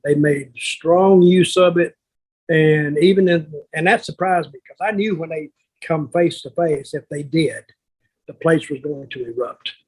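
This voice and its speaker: An older man with a husky, raspy voice that sits a little high. He tells anecdotes casually, like a veteran on a podcast, blunt and salty, slipping into remembered exchanges. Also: a little slow, darkish tone, low-quality recording. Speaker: veteran wrestler telling stories on a podcast.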